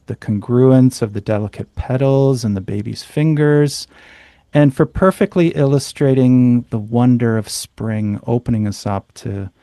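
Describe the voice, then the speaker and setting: A soft-spoken man hosts a quiet conversation about poetry. His voice is mellow and warm, and the sound is dry and close. He takes his time and strings his questions together gently. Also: mid-range voice, dry recording. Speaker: podcast host and haiku judge. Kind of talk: gentle reflective interview.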